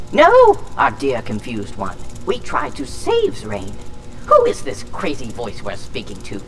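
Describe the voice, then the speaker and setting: A woman's voice: mid-range voice, creaky, fairly bright tone, full character voice. A woman voicing a crazed, grandiose sentient shard that babbles theatrical insults in a sci-fi audio drama. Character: manic, rambling sentient shard with a crazy voice.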